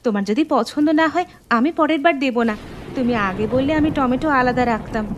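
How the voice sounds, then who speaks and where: A woman's voice: high voice, normal speed, a little hiss or hum, lightly acted. A woman playing a young Bengali daughter-in-law in a cartoon, caring, earnest and respectful, eager to please. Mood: apologetic. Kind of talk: animated moral story voice acting.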